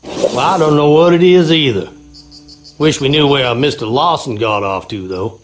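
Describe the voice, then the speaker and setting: An actor playing a folksy, skeptical handyman in a video game, with a gravelly Southern US drawl. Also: medium pitch, moderate pace, slight background noise, lightly acted. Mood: chatty, puzzled.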